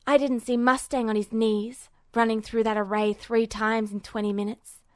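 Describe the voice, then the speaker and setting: A woman reads as a gruff young man in a fantasy audio story. He is proud and irritable, with a curt, sarcastic, muttering delivery. The recording is dry and close. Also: on the high side, flat delivery, normal speed, a little mellow, no echo, lightly acted. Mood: irritated, sarcastic.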